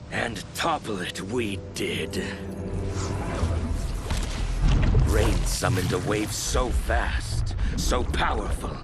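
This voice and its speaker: An actor playing a grim, fervent anarchist revolutionary, telling his tale with measured, theatrical intensity in a game cutscene. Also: sits low. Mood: triumphant. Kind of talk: dramatic scripted game cutscene narration. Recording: music behind the voice.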